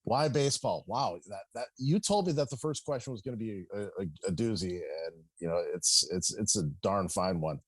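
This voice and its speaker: Low-voiced chatty man, dry close sound. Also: moderate pace, few pauses, slightly rough, no room sound. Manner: chatty, banter-prone, often says "you know". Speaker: guest, baseball public address announcer. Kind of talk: casual podcast interview.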